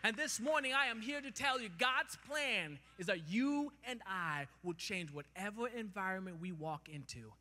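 A man preaches on a church stage with a handheld mic, his tone bright and forceful. His pitch sits somewhat high for a man, and he climbs into loud, emphatic peaks. The big hall lets his voice hang in a long reverb. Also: animated, normal speed, few pauses. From Kenya. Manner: preaching with rising emphasis, gesturing while walking the stage. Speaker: pastor. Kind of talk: sermon. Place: large church stage, indoors.

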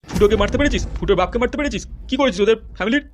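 A man's voice: sits high, animated, racing, slight background noise. A man voicing a furious, foul-mouthed politician husband in a comedy cartoon, scolding and accusing. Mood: furious, threatening.

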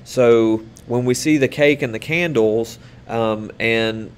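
A man's voice: mid-range voice, takes it slowly, clean tone. Patient man teaching young kids. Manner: patient, upbeat, many fillers. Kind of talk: online lesson for children. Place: small room indoors.

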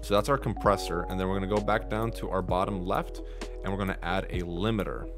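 A young man in his twenties with a low voice, talking through step-by-step software settings on a studio mic in a small bedroom studio. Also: fast talker, over music. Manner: steady step-by-step instructions. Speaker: streaming tutorial creator. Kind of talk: screen-recorded software tutorial. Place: small dim bedroom studio with blue lighting. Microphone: studio mic on boom arm.

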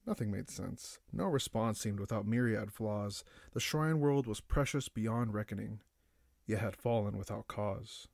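A man narrating a dark science fiction audiobook in a solemn, grave, dramatic manner. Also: fairly low pitch, a little slow, gravelly, no room sound, studio quality. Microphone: close, dry studio-style microphone.

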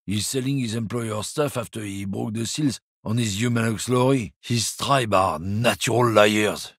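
An actor playing a boastful, crude, bigoted middle-aged lorry driver in a video game, recorded on a dry studio mic. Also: male voice, fairly low pitch, moderate pace, a bit bright, slightly rough, no room sound. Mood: sneering.

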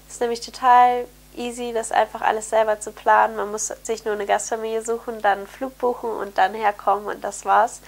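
Young woman, nasal with a cold, slightly hoarse, creaky edge, chatty upbeat vlog talk, bedroom, camera mic. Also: on the high side. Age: young adult. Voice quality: slightly hoarse, throat clearing. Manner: chatty, upbeat, many fillers. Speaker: vlogger, au pair. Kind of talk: casual chat to camera. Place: bright bedroom indoors. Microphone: camera mic at close framing.

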